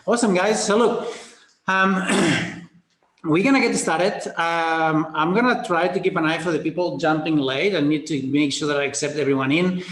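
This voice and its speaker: A man's voice: on the high side, steady tone, normal speed, a bit bright. A man from Spain with a Spanish accent hosts a live webinar, chatting upbeat, greeting attendees by name and stumbling through restarts and fillers. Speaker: webinar host. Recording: roomy webinar audio.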